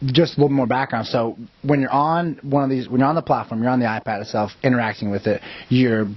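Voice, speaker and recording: Male host for a casual interview, slightly rough-edged husky voice, dull lo-fi recording. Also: mid-range voice, fast. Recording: roomy, dull lo-fi audio.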